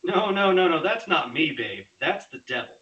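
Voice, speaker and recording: A man's voice: on the high side, animated, moderate pace, pushed and bright. A man playing an easygoing, doubtful young boyfriend who questions and teases in a screenplay table read. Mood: playful. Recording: hissy, dull online-call sound.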